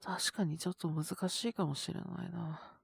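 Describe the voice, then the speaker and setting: A woman's voice: fairly low pitch, fairly even intonation, moderate pace, vocal fry, husky, no echo, full character voice. A woman voicing a sick, tired young male teacher in an audio drama, brusque and sighing, with a weary mutter. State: feverish, coughing. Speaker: voice actor. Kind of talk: Japanese situation-voice audio drama.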